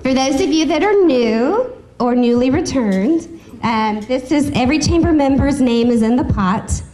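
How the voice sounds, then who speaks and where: A woman's voice: high-pitched, expressive, moderate pace, mellow, slight background noise. A woman chatting to a group as she makes informal announcements as host of a business meeting. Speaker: chamber of commerce host.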